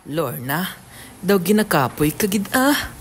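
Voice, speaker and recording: Woman acting a low-voiced sleazy male boss. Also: big pitch swings, slow, slight background noise, heavily acted. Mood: smooth, insinuating. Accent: Filipino. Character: sleazy, patronizing male office boss Sir Ben. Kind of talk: amateur radio drama acting.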